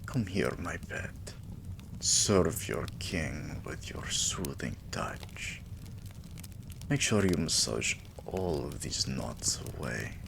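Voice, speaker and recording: A man playing a regal, possessive, doting vampire king in an intimate ASMR roleplay, with lordly, drawn-out phrasing and murmurs. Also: very deep voice, lively intonation, creaky, background noise, heavily acted. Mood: fond, commanding.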